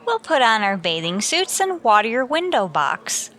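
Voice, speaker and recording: A woman playing a wry, lovestruck high school teacher in an old-time radio comedy, with a dry, sly manner. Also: big pitch swings, normal speed, a bit bright. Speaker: lead actor and narrator. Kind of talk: old-time radio comedy acting, first-person narration.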